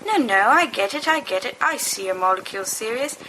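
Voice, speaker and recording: A woman voicing giggly, stoned teenage schoolboys in an audiobook, rambling in excitable slang. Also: fairly high pitch, brisk, voice pushed up. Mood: stoned excitement. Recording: noisy amateur home recording.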